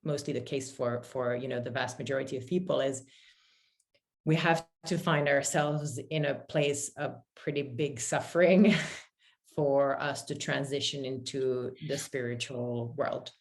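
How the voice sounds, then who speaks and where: A woman's voice: extremely low pitch, steady tone, measured pace. A woman in her forties from Finland and Paris, telling her personal story candidly as an interview guest. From born in Finland, grew up in Paris, France. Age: 40s. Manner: candid, conversational. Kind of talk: casual, reflective personal storytelling.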